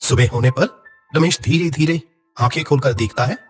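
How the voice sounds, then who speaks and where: A man's voice: medium pitch, moderate pace, soft background music. A man narrating a scripted horror story dramatically.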